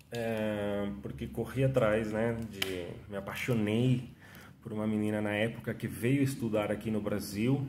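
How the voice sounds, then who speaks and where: A man's voice: medium pitch, warm tone, a little hiss or hum. A man in his thirties from Venezuela, speaking with a Venezuelan Spanish accent as a second-language speaker, telling his life story in a casual, chatty monologue with many restarts and tag questions. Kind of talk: casual self-interview monologue. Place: small room at home, indoors. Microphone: phone camera at arm's length. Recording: echoey room.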